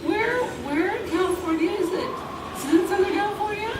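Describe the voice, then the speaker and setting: A woman's voice: very high voice, brisk, voice pushed up. A woman voicing a chatty, eager, friendly squirrel puppet in a live children's show. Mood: excited, curious. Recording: roomy, distant mic, noisy ambience.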